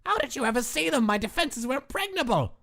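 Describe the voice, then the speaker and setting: A woman voices a naive, boastful skeleton guy for a comic dub. The delivery is pompous and emphatic, with lively swings in pitch and a childlike earnestness. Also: quick pace, vocal fry, heavily acted. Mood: flustered, indignant. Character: naive, boastful, excitable male skeleton monster. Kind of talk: scripted comic dub acting.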